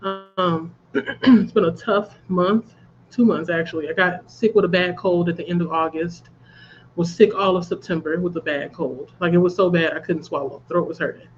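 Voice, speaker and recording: A woman's voice: fairly low pitch, fairly even intonation, normal speed, dull audio, soft background music. Congested woman chatting on a livestream. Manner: chatty, laughs at her own jokes. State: congested from a cold. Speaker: livestream host of a reading sprint. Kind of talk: casual chat. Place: at home.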